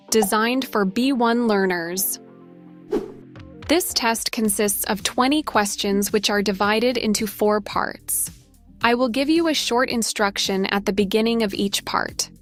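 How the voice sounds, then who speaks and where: A woman reading scripted listening-test instructions in a clear, measured way. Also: fairly high pitch. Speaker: test narrator. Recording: clip with faint background music.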